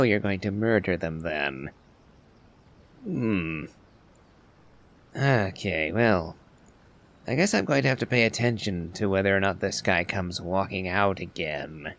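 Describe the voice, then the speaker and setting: A man giving casual game commentary as a streamer, in a musing, wry and measured way. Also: fairly low pitch, a bit bright. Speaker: gaming streamer.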